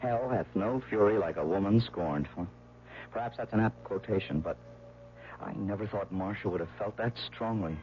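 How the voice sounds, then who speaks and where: An actor playing an earnest, brooding young American man who looks back bitterly, in halting 1970s radio drama style. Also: lowish voice, lightly acted. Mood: bitter, rueful. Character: earnest young lover turned embittered veteran, recounting his past. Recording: muffled, noisy old radio broadcast.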